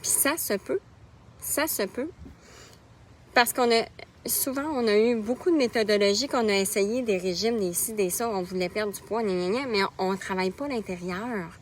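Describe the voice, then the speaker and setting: A woman's voice: medium pitch, moderate pace, slight background noise. A woman in her thirties with a Québécois French accent, a nutrition coach talking casually in a live stream and greeting viewers by name as she goes. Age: 30s.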